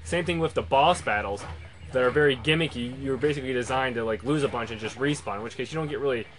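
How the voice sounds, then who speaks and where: Fast-talking man reviewing video games. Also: mid-range voice, fairly bright tone. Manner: rambling, loosely worded. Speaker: video game reviewer, host. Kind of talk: casual unscripted review commentary.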